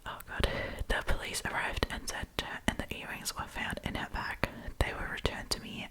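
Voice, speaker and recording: Female ASMR storyteller, entirely whispered, informal and chatty, reading aloud with offhand commentary. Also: poor audio. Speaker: host reading and reacting to online stories.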